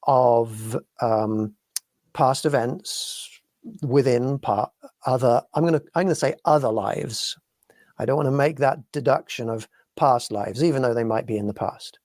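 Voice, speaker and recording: British guy hosting a chat show, middle pitch, thinks out loud carefully. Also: no room sound, clean studio sound. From United Kingdom. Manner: measured, reasoning aloud. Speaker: podcast host. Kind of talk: casual talk show discussion.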